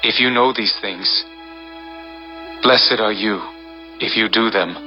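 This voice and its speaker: A solemn holy teacher with a lowish voice and a very slow, deliberate delivery. He speaks with quiet authority in an audio Bible drama. Music plays clearly behind him. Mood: solemn teaching. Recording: dull, band-limited audio with music behind.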